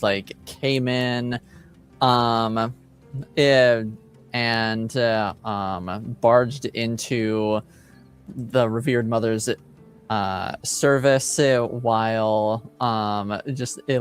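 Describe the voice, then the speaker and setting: A man improvising narration as game master of a tabletop roleplaying game, halting as he searches for words. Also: medium pitch, smooth.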